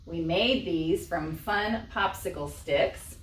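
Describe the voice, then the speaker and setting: A woman's voice: fairly low pitch, slow pace, slight background noise. A woman presenting a library storytime, reading and explaining crafts expressively for young children. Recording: echoey room, distant microphone.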